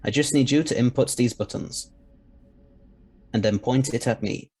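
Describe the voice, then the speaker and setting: A man's voice: mid-range voice, normal speed, a little mellow, slightly rough. A man playing an eccentric, confident scientist time traveller in an audio drama, speaking articulately with a mildly superior air. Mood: assured.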